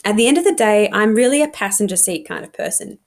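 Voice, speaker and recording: A woman reading a young adult novel aloud like a teacher, in an informal, expressive storytelling manner. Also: medium pitch, fast talker.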